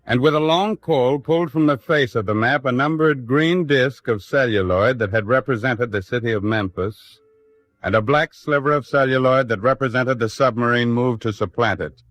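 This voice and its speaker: A man's voice: medium pitch, moderate pace, fairly bright tone, no room sound, faint music behind. A man delivering solemn, rhetorical narration in the dramatic style of an old-time radio documentary drama.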